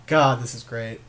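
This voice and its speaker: A man's voice: medium pitch, fairly bright tone, a little hiss or hum. A man co-hosting a game session in casual chat, readily agreeing with and affirming his partner's suggestions. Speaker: podcast co-host and card game player.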